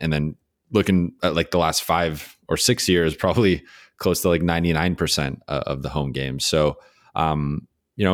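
Young male podcast host, extremely deep voice, halting and sincere, dry close mic. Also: no room sound. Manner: halting, many fillers and restarts, sincere. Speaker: podcast host, sports beat writer. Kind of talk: solo farewell podcast message.

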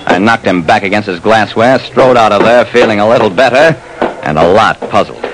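A man's voice: fairly low pitch, fairly quick, music in the background, close to an everyday voice. An actor playing a tough private detective narrating his case in clipped, terse 1940s radio drama style, on a noisy old recording. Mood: hard-boiled narrating. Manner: clipped, terse, hard-boiled.

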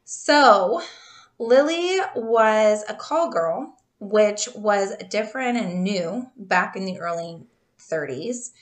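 A woman's voice: mid-range voice, expressive, takes it slowly. A woman in her thirties co-hosting a podcast, telling a true crime story in a casual, chatty way, laughing often and stumbling over names.

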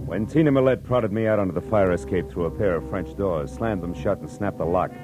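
A man's voice: low voice, fairly quick, close to an everyday voice. An American actor playing a wry, flirtatious, tough-talking male private detective in a 1940s radio drama. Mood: wry narration. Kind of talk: 1940s radio acting with first-person narration. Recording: old radio recording with music.

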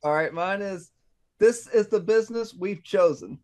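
A man's voice: sits high, normal speed, a little mellow. A man appearing as a guest on a casual movie podcast, giving short, easygoing answers.

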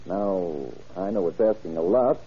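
An actor in an old-time radio crime drama playing a folksy, methodical middle-aged county sheriff, speaking plainly and deliberately. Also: male voice, sits low, husky. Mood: folksy, inquiring. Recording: muffled vintage radio drama recording.

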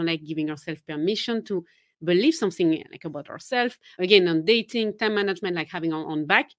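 A woman's voice: mid-range voice, dry recording. A woman from Geneva with a Swiss French accent, a native French speaker, chatting as a confidence coach guest, laughing often and restarting her sentences. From Geneva, Switzerland. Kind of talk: casual interview chat.

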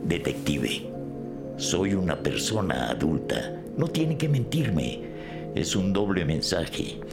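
A man's voice: low voice, heavily acted. A man playing an upset, guarded middle-aged shop owner in an audio drama, speaking in an aggrieved, emphatic way. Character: upset, guarded adult male shop owner whose store was vandalized.

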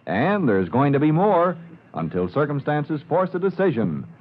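A man's voice: fairly high pitch, sing-song, normal speed. A man narrating a scripted serial as an announcer in an old-fashioned radio style. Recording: thin, narrow vintage radio recording.

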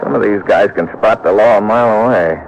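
Deep-voiced hard-boiled radio agent. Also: male voice, a little fast, gravelly, noisy background. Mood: wry, matter-of-fact. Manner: clipped, hard-boiled, terse. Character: tough, wry American male government agent. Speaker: lead actor and narrator. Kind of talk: old-time radio detective drama acting. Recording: dull vintage radio recording.

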